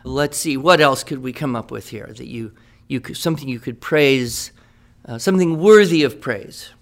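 A man's voice: mid-range voice, moderate pace. A man playing a writing teacher who leads a class in an upbeat, encouraging and wordy way. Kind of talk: scripted classroom radio drama.

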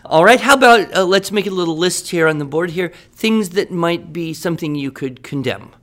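A man's voice: fairly high pitch, expressive, normal speed, fairly bright tone. Upbeat, encouraging male teacher. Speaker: writing teacher. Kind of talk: scripted classroom radio drama.

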